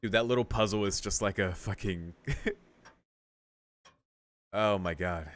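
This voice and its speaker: A man's voice: on the low side, a little slow, fairly bright tone. A man playing a visual novel, reading its text aloud with casual, sweary commentary and muttered asides. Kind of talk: casual gaming commentary mixed with read-aloud narration.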